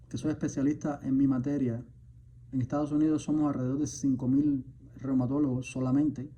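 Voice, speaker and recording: A man, a physician, delivering an impassioned spoken message, indignant and firing off rhetorical questions, at times surging louder. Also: mid-range voice. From Cuba. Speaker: physician, rheumatologist. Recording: dull lo-fi sound with faint background noise.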